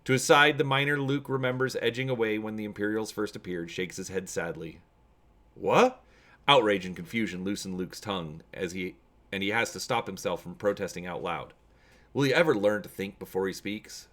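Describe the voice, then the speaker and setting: Low-voiced man hosting a story reading. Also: fairly quick, fairly bright tone. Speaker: host of a tabletop gaming channel. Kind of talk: casual video intro and dramatic reading of story narration.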